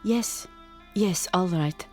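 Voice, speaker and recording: An actress playing a troubled expectant mother in a radio drama, earnest, formal and gently hesitant. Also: fairly low pitch, takes it slowly. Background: faint music.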